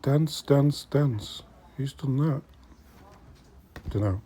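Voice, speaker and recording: A man's voice: medium pitch, drawn-out words, dark tone, a little hiss or hum. A middle-aged man giving casual, chatty commentary to viewers while browsing in a shop, sometimes muttering to himself. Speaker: host of a vintage collecting vlog. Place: inside a secondhand shop.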